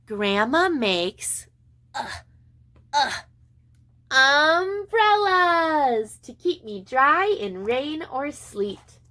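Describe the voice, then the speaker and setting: A woman preschool teacher reading a picture book aloud to young children in an expressive, sing-song way with deliberate pauses. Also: sits high.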